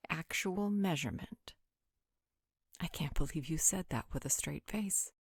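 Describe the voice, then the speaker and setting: A woman's voice: deep voice, a little slow, husky, no echo, heavily acted. A woman playing a haughty, flirtatious vampire with a dry, sarcastic manner in a roleplay audio drama. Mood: sardonic, dry.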